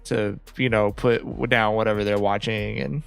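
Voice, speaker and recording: Clear-voiced man hosting a casual podcast. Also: fairly low pitch, steady tone, normal speed, a bit bright, faint music behind. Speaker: podcast host. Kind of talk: casual conversational podcast discussion.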